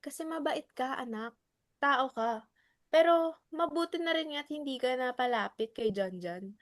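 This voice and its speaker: Woman acting a doting, high-voiced mother. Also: lively intonation, normal speed, no echo, clean studio sound. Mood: doting, worried. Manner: reassuring, cautioning. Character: protective, doting mother of a college-age son. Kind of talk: dramatic stage reading in Tagalog.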